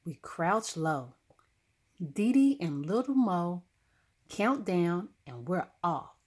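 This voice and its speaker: A woman narrating a children's story expressively, with dramatic, deliberate phrasing. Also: fairly low pitch, very animated, darkish tone. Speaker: storybook reader.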